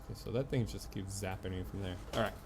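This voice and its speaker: A man's voice: sits low, quick pace, creaky, background noise. A man giving casual live commentary on a video game, muttering to himself with short exclamations.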